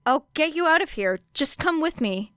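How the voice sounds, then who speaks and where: A woman voicing an awkward male police officer, halting and unsure as he tries to reassure a child. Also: high voice, animated, fairly bright tone, no echo, studio quality, voice pushed up. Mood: flustered, reassuring.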